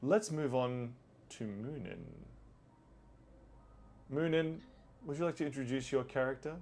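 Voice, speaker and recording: A man's voice: measured pace, a little mellow, slight background noise. A man hosting a tabletop game as game master, chatting casually with a playfully theatrical manner. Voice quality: deep, gravelly.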